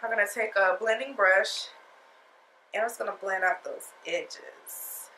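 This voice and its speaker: A woman's voice: mid-range voice, a little slow, pushed and bright, echoey room, slight background noise, rough recording. Chatty, sassy woman with a stuffy nose. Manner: chatty, sassy, talks straight to viewers. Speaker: beauty vlogger. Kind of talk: casual makeup tutorial chat.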